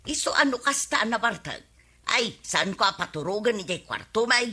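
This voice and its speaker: A woman's voice: mid-range voice, lively intonation, moderate pace, sharp tone, breathy edge. A woman playing an indignant, complaining wife in a radio comedy drama, with an Ilocano accent. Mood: fed up.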